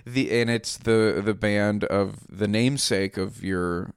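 Rambling host, dry close sound. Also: male voice, fairly low pitch, takes it slowly, smooth, no room sound. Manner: rambling, many fillers, wry. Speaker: podcast host. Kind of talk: casual chat.

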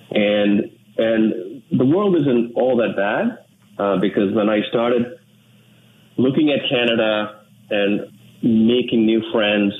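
A man from Sri Lanka, an English speaker, talking in an earnest, measured way as an interview guest. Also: fairly low pitch. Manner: measured, earnest, with frequent restarts. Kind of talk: reflective interview talk. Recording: tinny phone line with faint noise.

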